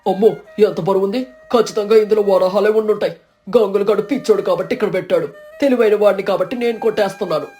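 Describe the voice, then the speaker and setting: A woman voicing a greedy, scheming and vengeful male villager in an animated moral story, boastful and exclamatory. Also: mid-range voice, quick pace, soft background music, lightly acted. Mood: sly glee.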